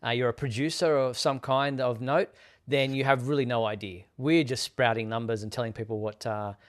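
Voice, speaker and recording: A man hosting a casual fan news discussion, explaining in a measured way with some hesitant phrasing. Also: medium pitch, steady tone. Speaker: host of a Superman fan live show.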